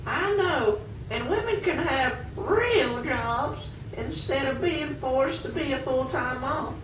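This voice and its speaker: A kindly older woman, played by a woman in an amateur puppet show. She speaks slowly in a high voice, in an echoey room. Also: expressive. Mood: friendly, reflective. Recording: echoey room, distant mic, faint noise.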